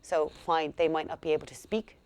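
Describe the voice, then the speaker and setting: A young woman working as a school liaison coordinator, explaining her work in a steady, earnest way. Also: low voice, fairly quick. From Ireland. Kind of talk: speaking to camera about her work.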